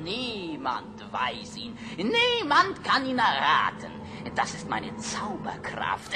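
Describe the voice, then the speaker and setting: A spiteful little goblin man, high and wildly swooping. He draws his words out slowly and gloats with a cackle. It is an old fairy-tale radio play with clear background noise. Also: huge pitch swings, full character voice. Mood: gloating, gleeful. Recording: noisy old vinyl audio play.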